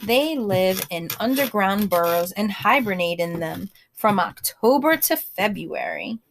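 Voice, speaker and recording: Woman, children's read-aloud storyteller, expressive, slow-paced, conversational asides, slight background noise. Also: mid-range voice, vocal fry, rough recording. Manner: expressive, pauses to ask listeners questions.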